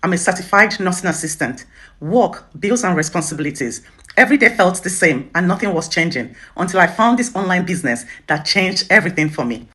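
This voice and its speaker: A woman's voice: on the low side, lively intonation, fairly quick, bright, forceful tone. A woman from Nigeria, a nursing assistant, giving a matter-of-fact promotional testimonial.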